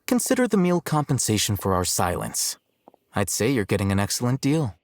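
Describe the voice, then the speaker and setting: An actor playing an aloof, blunt young scholar in a video game, speaking in a measured, dry, condescending way. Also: male voice, lowish voice, big pitch swings, darkish tone, no room sound. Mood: dry, pointed. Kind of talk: scripted video game cutscene acting. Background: background music.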